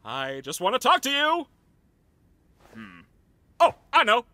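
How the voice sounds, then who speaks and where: A man's voice: very high-pitched, wildly swooping pitch, slow, background noise, exaggerated voice. A man voicing a manic, theatrical cartoon ringmaster made of dentures, with a bombastic, overenthusiastic showman's manner. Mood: manic, upbeat. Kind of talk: animated cartoon voice acting.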